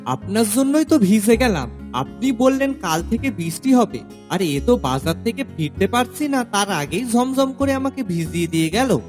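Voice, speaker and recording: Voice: mid-range voice, big pitch swings, normal speed, a little mellow, soft background music, close to an everyday voice. An actor voicing a simple, chatty village manservant with a rural Bengali accent, naive and full of exclamations, in a cartoon story. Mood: exasperated, grumbling. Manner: naive, talkative, exclaiming, rustic speech. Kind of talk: animated family comedy-drama acting.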